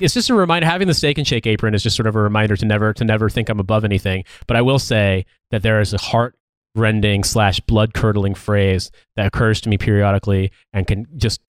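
A man co-hosting a podcast, telling profane, animated stories in casual chat. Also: on the low side, no room sound.

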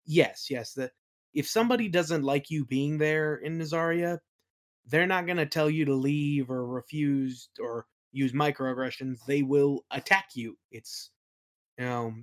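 A man with a medium-pitched voice talks casually as a guest in an interview. He thinks aloud as he answers, hesitating and feeling out his wording. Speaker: interview guest, audio play creator.

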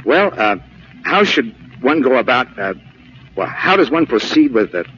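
Earnest, proper gentleman, old radio. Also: mid-range voice, big pitch swings, normal speed. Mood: earnest, hesitant. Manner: hesitant, stammering, formal. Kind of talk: 1940s radio comedy acting. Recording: muffled, noisy old radio broadcast recording.

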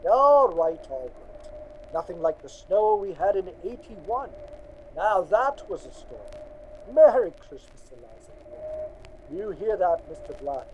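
A man's voice: high-pitched, big pitch swings, unhurried, a little mellow, muffled recording, a little hiss or hum. An actor playing a merry, drunken old farmer father in a radio drama, boisterous and rambling. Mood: jolly, rambling. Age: older.

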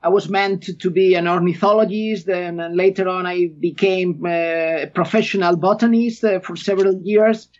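Spanish accent guy, middle-aged, high pitched, keeps restarting his sentences, kinda muffled audio. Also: steady tone, darkish tone. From Spain. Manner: halting, many restarts and fillers. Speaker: guest. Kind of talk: podcast interview.

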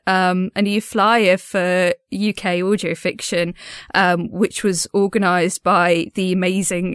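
Woman, mid-pitched, casual diary monologue, enthusiastic, earnest, dry close sound. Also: clear, resonant tone, no echo. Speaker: podcast creator and host.

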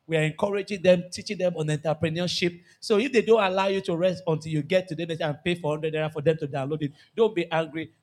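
A Nigerian man preaching a lively church sermon, repeating key phrases and joking with the congregation. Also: a little high. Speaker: pastor.

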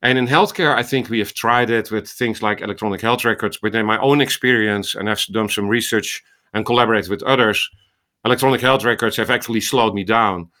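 A man's voice: fairly low pitch, a little fast, sharp tone. A middle-aged man with a Dutch accent, giving long, thoughtful answers as an interview guest with small restarts. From Netherlands. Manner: talkative, explains at length with small restarts. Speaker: guest, ophthalmologist and AI researcher.

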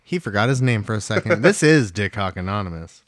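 A man's voice: fairly low pitch, lively intonation, a little fast, dry recording. A man hosting a podcast in an easygoing, chatty way, chuckling along. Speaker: podcast host. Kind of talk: casual podcast chat.

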